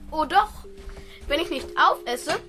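Woman doing a well behaved little boy, high voice, super polite and proper, radio play w music behind. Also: expressive, measured pace, sharp tone, heavily acted. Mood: solemn, troubled. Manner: precise, polite, formal diction. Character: earnest, well-mannered, dutiful seven-year-old boy.

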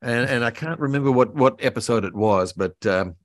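An older man from Australia chatting casually as an interview guest, explaining things in a halting, rambling way. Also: mid-range voice, dry recording, studio quality. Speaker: author and voice actor, guest. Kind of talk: casual interview chat, reminiscing. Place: home room, indoors, on a video call. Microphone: computer mic on a video call.

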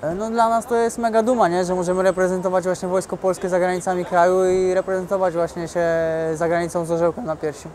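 A man's voice: high-pitched, little pitch movement, fast, few pauses. A young man, a boxer, answering interview questions in a plain, matter-of-fact way. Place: outdoors by a glass building. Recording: faint outdoor noise.

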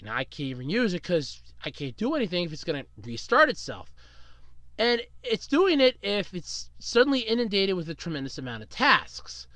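A man hosting a solo podcast, rambling casually about his week, with big swings up and down in pitch and still getting over a cold. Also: sharp tone, no room sound. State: recovering from a cold. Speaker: podcast host.

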